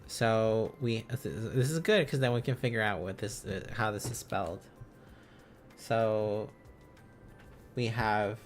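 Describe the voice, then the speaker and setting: A man hosting an informal language-learning livestream, thinking aloud in a halting, casual, self-correcting way. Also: on the low side. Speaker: livestream host learning Korean along with viewers.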